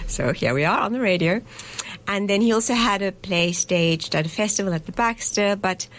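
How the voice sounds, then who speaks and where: A woman in her fifties, a festival director from South Africa, talking in a radio studio interview, thoughtful and correcting herself as she goes. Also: on the low side. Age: 50s. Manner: thoughtful, self-correcting, reflective.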